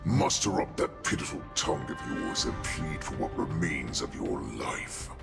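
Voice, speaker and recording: An actor playing an ancient, cruel beast warlord in a video game, growling with imperious, menacing contempt. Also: male voice, rumbling low, sing-song, a little slow, heavily acted. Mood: menacing command. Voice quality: guttural, growling, gravelly. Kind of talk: dramatic video game villain acting. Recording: loud music behind voice.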